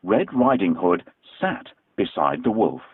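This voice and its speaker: Slow, expressive male storybook narrator. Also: sits low, breathy edge, no room sound. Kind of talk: scripted children's storybook narration. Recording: muffled telephone-like narrow band.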